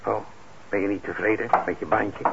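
An actor in a radio play as a kindly, trusting middle-aged shopkeeper, speaking gently and haltingly with patient encouragement. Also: male voice, lowish voice, flat delivery, gravelly. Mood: encouraging. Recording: dull, noisy old radio recording.

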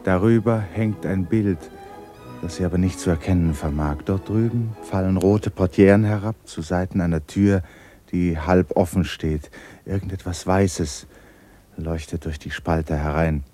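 An actor playing an intimate narrator of a melancholy love story in a 1960s radio play, hushed and measured. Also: male voice, sits low, steady tone. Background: music.

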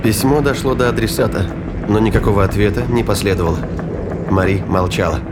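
A man's voice: fairly low pitch, fairly quick, very noisy. A man giving scripted dramatic narration as the narrator of an audio drama. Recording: loud music behind the voice.

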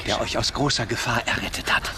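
A man's voice: mid-range voice, a little fast, loud music behind the voice, lightly acted. An actor playing a devout, protective monk in a radio drama, grave and fatherly as he exhorts. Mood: solemn, caring.

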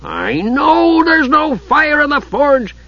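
A man voicing a gruff old dwarf, easily exasperated, in a growling, spluttering audiobook character voice. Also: high-pitched, a little fast, pushed and bright, dull audio, pitched above the performer's own voice, heavily acted. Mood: infuriated.

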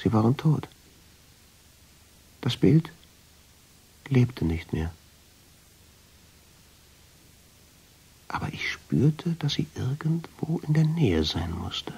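An actor playing a brooding, lovestruck first-person narrator in a radio drama, with intense, deliberate storytelling and a husky voice. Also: male voice, mid-range voice, sing-song, darkish tone, full character voice, rough recording. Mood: hushed, tender. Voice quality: husky, gravelly, often sinking into whisper.

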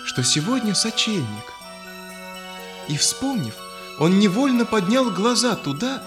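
A man narrating a children's audio fairy tale in a solemn, expressive, literary manner. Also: sits high. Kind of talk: dramatic scripted storytelling, audio fairy tale. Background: music.

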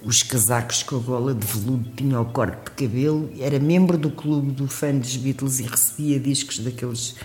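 An older woman from Mozambique, a singer recalling her youth in a lively storytelling manner with frequent laughs. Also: normal speed, few pauses, reverberant, faint music behind. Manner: lively storytelling, laughs often, shifts pitch when quoting. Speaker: guest singer. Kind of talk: reminiscing interview talk.

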